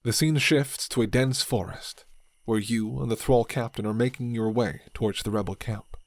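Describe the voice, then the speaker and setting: A man narrating a dark fantasy ASMR roleplay in calm second-person storybook style. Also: mid-range voice, moderate pace, dry recording.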